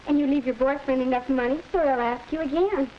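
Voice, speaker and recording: An actress playing a cheerful, sensible teenage big sister in a 1940s educational film. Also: female voice, high-pitched, fast, natural voice. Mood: chatty, sensible. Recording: muffled, noisy 1940s film soundtrack.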